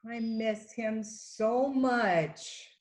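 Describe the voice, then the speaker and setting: A woman acting as a devoted, hopeful disciple of Jesus in a church dramatic reading, earnest and measured. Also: fairly high pitch, darkish tone. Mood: longing.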